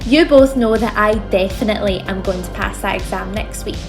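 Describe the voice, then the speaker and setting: A woman's voice: medium pitch, brisk, natural voice. A young woman playing a defensive, teasing nursing student in casual audio drama banter. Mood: indignant, teasing. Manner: indignant, teasing. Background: music.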